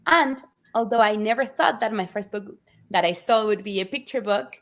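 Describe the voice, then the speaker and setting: A woman from Argentina, telling the story of her book as an interview guest with animated, earnest warmth. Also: mid-range voice, normal speed. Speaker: author guest. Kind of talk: conversational interview.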